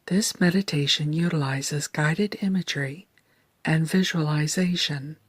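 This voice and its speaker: Low, breathy woman guiding slow meditation. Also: no echo. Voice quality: soft, breathy, near-whispered. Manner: calm, deliberate, soothing delivery. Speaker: guided meditation narrator. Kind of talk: slow scripted guided meditation narration.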